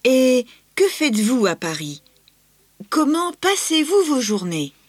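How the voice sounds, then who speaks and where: An actress voicing a polite, curious female interviewer who asks short, clear questions. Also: high voice, big pitch swings, takes it slowly, no room sound, exaggerated voice. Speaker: interviewer in a scripted listening-test dialogue. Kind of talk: scripted dialogue reading.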